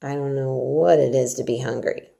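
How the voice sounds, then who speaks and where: A woman's voice: extremely low pitch, fairly even intonation, moderate pace, vocal fry, warm tone, voice pushed down, exaggerated voice. A woman reading storybook dialogue as a sullen, spoiled little girl, curt and haughty. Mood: cold refusal. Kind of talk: storybook dialogue read aloud.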